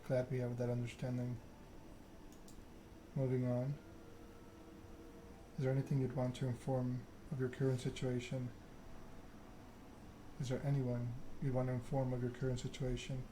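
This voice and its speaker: Man reading as a cold, measured vampire enforcer. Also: mid-range voice, noisy background, close to an everyday voice. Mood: stern. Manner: measured monotone recitation, veiled threats. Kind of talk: video game dialogue read aloud.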